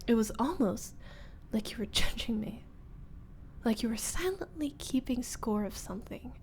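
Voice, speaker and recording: A woman playing a teasing young former school bully turned nervous roommate, in an intimate ASMR roleplay with halting, breathy delivery that drops into whispers. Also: on the high side, expressive, a little hiss or hum. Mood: frustrated, accusing.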